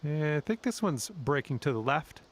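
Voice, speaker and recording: A man's voice: on the high side, big pitch swings, normal speed. Man calling golf, noisy background. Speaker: golf commentator. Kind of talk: video game sports commentary. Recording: clear background noise.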